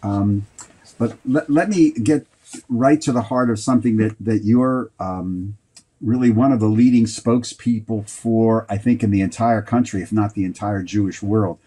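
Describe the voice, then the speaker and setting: Mellow, lowish-voiced male host. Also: normal speed, slightly rough. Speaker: talk show host, interviewer. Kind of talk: conversational interviewing.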